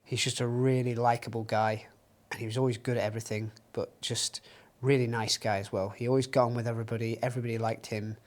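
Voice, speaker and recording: A young man, a singer-songwriter speaking softly and reflectively in a documentary interview. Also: mid-range voice, level tone, quick pace, hardly any pauses. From Northwest England. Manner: soft-spoken, reflective, grieving. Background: guitar music.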